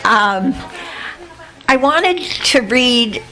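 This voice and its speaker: An older woman reading her own poetry aloud at an event, measured and unhurried, then chatting loosely with fillers between pieces. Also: on the high side, animated, sharp tone, rough recording. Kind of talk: poetry reading and spoken introduction. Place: indoor venue with an audience.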